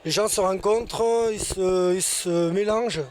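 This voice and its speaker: A man giving a casual interview about a festival campsite, talking with many pauses and restarts. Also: high-pitched, a little mellow. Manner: hesitant, many fillers and restarts.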